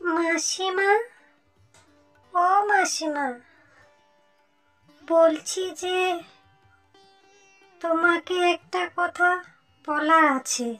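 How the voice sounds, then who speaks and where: A woman's voice: extremely high pitch, fairly even intonation, fairly bright tone, faint music behind, full character voice. A woman playing a poor, humble mother in a cartoon, pleading hesitantly and anxiously for her child. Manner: hesitant, pleading, drawn-out delivery. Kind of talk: slow melodramatic cartoon dialogue.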